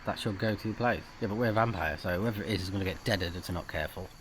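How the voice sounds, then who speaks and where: A man's voice: sits low, quick pace, a bit bright, slight background noise, low-quality recording. A man hosting a let's play, mixing playful, reactive commentary with reading the game's text aloud.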